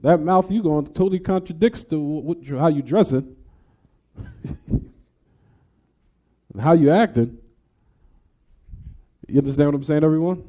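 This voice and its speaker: A man's voice: on the high side, a little slow, pauses often, warm tone. An American man teaching an Islamic lesson, explaining emphatically, repeating and rephrasing his points and speaking directly to his listeners. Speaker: religious teacher. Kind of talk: Islamic lesson, reading and explaining a text, then taking questions.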